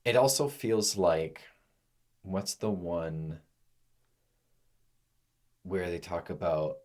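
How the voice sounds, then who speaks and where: A man co-hosting a casual podcast, easygoing and quick to laugh, talking over song lyrics. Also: deep voice, a little mellow. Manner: easygoing, laughs often, reads definitions aloud. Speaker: podcast co-host.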